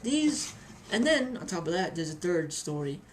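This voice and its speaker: A woman with a slightly low voice talks through a casual vlog review. She is chatty and tells things like stories, doubling back on phrases for emphasis. A faint noisy room ambience sits behind her. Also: sing-song, moderate pace. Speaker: hobbyist video reviewer.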